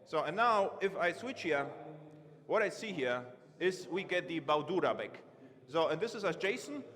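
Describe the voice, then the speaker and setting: A man giving a live-coding conference talk from a large stage, halting and correcting himself as he types. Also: a little high, big pitch swings, fairly bright tone. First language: not Romanian. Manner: halting, self-correcting, thinks aloud while typing. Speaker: conference speaker. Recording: long reverb of a big hall.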